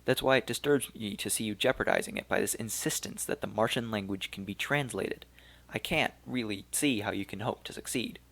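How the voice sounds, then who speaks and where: A man voicing an elderly, weary archaeologist of Turco-German origin, speaking slowly and regretfully in a gravelly voice. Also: fairly low pitch, fairly bright tone. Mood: gently doubtful. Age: older.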